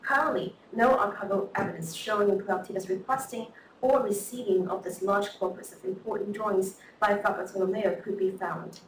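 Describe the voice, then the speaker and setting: A woman's voice: medium pitch, a little fast. A woman reads her academic paper aloud with careful pacing and small hesitations. She sounds far from the microphone in a reverberant lecture room, with faint noise underneath. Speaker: conference speaker. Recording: echoey lecture hall, distant mic.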